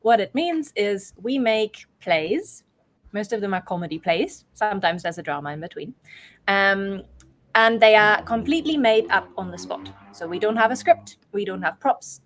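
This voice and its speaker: A woman's voice: medium pitch, lively intonation, normal speed, a little hiss or hum. A woman from Europe speaking as an interview guest, explaining things conversationally in a thoughtful, qualified way. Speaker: guest, improv theatre performer and organiser.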